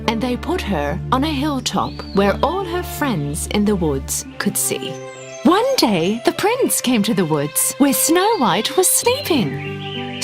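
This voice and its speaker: Female narrator for kids' fairy tales: fairly high voice, very animated and expressive, music underneath. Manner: expressive storytelling. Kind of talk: scripted children's fairy tale narration.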